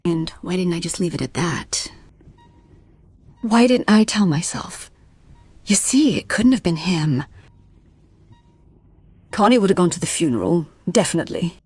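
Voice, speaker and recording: Anxious, insistent wife played by an actress, dry sound. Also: female voice, lowish voice, lively intonation, measured pace, pauses often, a little mellow, husky, no echo. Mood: troubled, reflective. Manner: insistent, pleading, questioning. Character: anxious musician's wife doubting her husband's innocence. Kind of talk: dramatic radio play dialogue and monologue.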